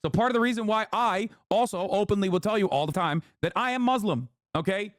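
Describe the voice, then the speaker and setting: A man's voice: high-pitched, fairly quick, no echo, studio quality. A man in a played stream clip ranting heatedly, stressing his points with a repeated "okay?". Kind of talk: ranting monologue.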